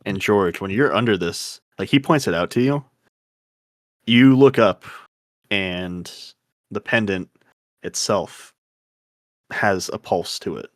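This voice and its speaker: A man's voice: lowish voice, slow, pauses often, dry recording, studio quality. A man acting as game master, narrating a tabletop role-playing game in an informal, slangy, conversational way.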